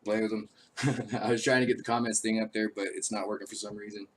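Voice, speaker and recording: A man who is an army veteran hosting his own show, talking casually and rambling with many fillers, his voice raspy from a cold. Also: on the low side, flat delivery, fast. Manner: laid-back, rambling, frequent uh fillers. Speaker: show host. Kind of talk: casual live-stream talk.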